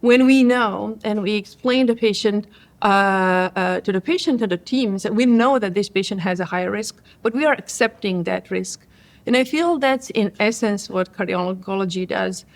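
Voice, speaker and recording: A woman's voice: medium pitch, normal speed. Reflective woman from Croatia. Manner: thoughtful, with many restarts and fillers. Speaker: cardiologist guest. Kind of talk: conference interview.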